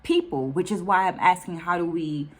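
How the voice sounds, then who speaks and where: Woman with a lowish voice doing a thoughtful teacher-type vlog, deliberate, repeats stuff to make the point. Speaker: educator and video host presenting book takeaways. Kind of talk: reflective talk to camera for an online video.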